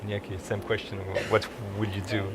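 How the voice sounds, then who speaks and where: A man's voice: lowish voice, monotone, a little fast, crowd noise. A man moderating a panel debate, putting questions to the panelists with hesitant restarts. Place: debate hall in a parliament building.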